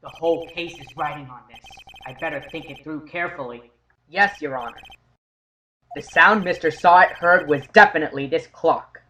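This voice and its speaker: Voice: mid-range voice, normal speed, pushed and bright, slight background noise, close to an everyday voice, low-quality recording. An actor voicing an earnest, dogged young male defense attorney in an amateur courtroom game dub, arguing assertively. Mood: careful. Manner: assertive, declamatory argument.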